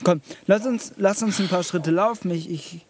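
An actor playing an awkward, earnest young man in a radio play, speaking in a halting, unsure way. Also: high-pitched, darkish tone. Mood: stunned, pleading. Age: young adult. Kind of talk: radio drama dialogue. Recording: faint background ambience noise.

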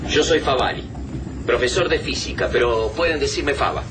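An actor playing a thoughtful, modest physics professor among survivors in an Argentine radio drama. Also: male voice, medium pitch, normal speed, lightly acted. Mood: modest, practical. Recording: noisy, slightly distant radio drama scene.

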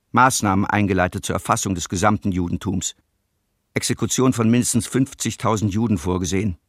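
Male report reader: low, dry studio sound, bureaucratic, clipped, cold documentary delivery. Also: no room sound. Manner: clipped, impersonal telegram style. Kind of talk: documentary radio play, read report.

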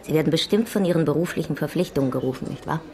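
An actress as an enigmatic young Russian émigré widow in a radio drama. Her voice sits low for a woman. She is calm, direct and unhurried, quietly forward with the man she addresses. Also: lightly acted. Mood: teasing, composed. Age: young adult.

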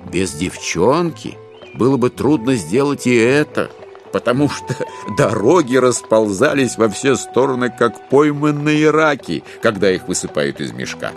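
A man narrating a dramatised literary audiobook in an expressive, measured storytelling manner. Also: medium pitch, soft background music.

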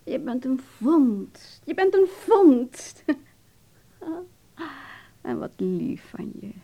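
A woman playing an imperious, seductive famous actress in a radio drama, with theatrical, drawn-out speech. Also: high voice, sing-song, gravelly, warm tone, full character voice. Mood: seductive, flattering.

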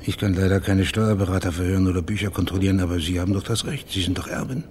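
Male private investigator, played by an actor, radio crime play: low register, plain-spoken, probing, background ambience audible. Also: steady tone, fast, a little mellow, a little hiss or hum, close to an everyday voice. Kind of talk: German radio crime drama acting.

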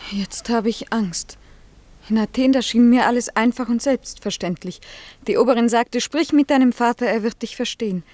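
A woman's voice: fairly high pitch, moderate pace, a little mellow, no room sound, lightly acted. An actress playing an educated, restless young village woman in a radio drama, earnest and intense. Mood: anxious. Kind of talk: German radio drama acting.

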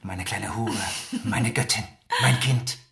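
A man's voice: fairly low pitch, slow pace, creaky, a bit bright, exaggerated voice. An actor playing a desperate, out-of-work literary man in a radio play, bitter and intense.

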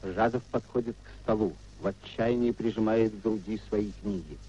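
A man's voice: lowish voice, steady tone, moderate pace, dark tone, breathy edge. A man reading stage directions as the narrator of a 1950s radio play. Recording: dull 1950s radio drama recording.

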